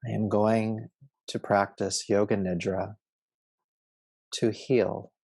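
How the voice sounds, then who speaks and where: Casting: male meditation teacher, lowish voice with creaky fry, soothing and measured, guiding relaxation. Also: level tone, darkish tone, no echo. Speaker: yoga nidra meditation teacher.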